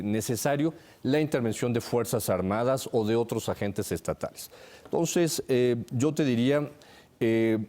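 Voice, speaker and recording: A man's voice: mid-range voice, dark tone. A man with a Mexican accent giving methodical analytical commentary as a security analyst on a television panel. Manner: methodical, enumerates his points.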